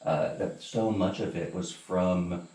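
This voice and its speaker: A man's voice: low-pitched, slow pace, dark tone. A man asking casual interview questions, hesitant and often rephrasing as he goes. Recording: roomy echo, distant from mic.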